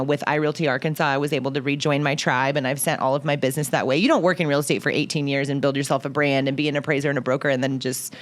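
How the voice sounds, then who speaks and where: Fast-talking American woman hosting a podcast. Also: low-pitched. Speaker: podcast host. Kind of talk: casual interview chat.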